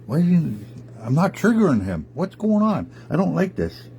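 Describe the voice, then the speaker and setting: Man acting a scrawny blustering bully bear, pitched up. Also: wildly swooping pitch, moderate pace, warm tone, slight background noise. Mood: baffled anger. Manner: blustering, threatening. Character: small scrawny angry bully bear, younger brother.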